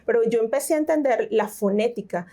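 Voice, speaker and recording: A middle-aged woman with a Venezuelan accent, a teacher giving an interview and explaining reading and pronunciation with lively examples. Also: a little high, fairly quick. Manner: explanatory, animated, frequent fillers. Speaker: teacher and tutor, interview guest. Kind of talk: conversational interview.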